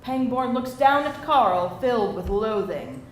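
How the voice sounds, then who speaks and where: A woman reads scripted screenplay directions in a fairly high voice. She is narrating live, and the room is echoey with faint noise behind her. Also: expressive, unhurried. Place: live indoor table read.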